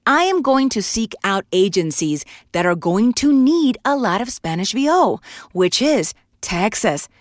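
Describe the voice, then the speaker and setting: A woman in her thirties who is a voiceover artist, giving emphatic, animated advice in a casual podcast interview. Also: mid-range voice, a little slow, no room sound, studio quality. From Puerto Rico.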